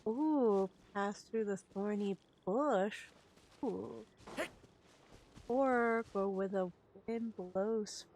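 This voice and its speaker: A woman's voice: mid-range voice, drawn-out words, a little mellow, a little hiss or hum. A woman streaming a game, giving casual commentary and reading bits aloud. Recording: game music behind the voice. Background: game music.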